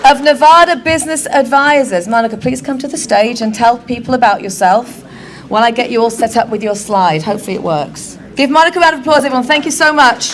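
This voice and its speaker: A woman's voice: a little high, fast. A woman organizing an event introduces the next speaker, enthusiastically rallying the audience to applaud. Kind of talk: stage introduction. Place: event venue.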